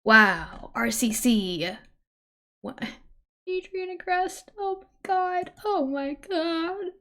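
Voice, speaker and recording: A woman hosting a livestream, chatting casually in an expressive, exclamatory way. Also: very high voice, slow. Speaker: livestream host.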